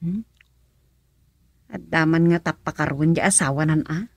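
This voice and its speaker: A woman's voice: low-pitched, measured pace, husky, no room sound, exaggerated voice. An actress with an Ilocano accent playing an inquisitive village councilman's wife in a radio comedy-drama.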